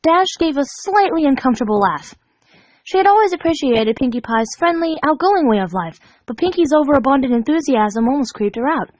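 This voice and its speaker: A woman reading fan fiction aloud as an expressive storybook narrator, animated and dramatic in her delivery. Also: high voice, a little fast, no echo, low-quality recording.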